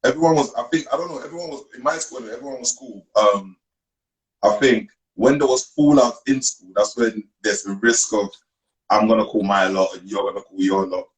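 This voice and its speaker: A man from Cameroon, a guest on a video call interview, telling his story in relaxed street slang. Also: lowish voice, normal speed, low-quality recording. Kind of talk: casual interview.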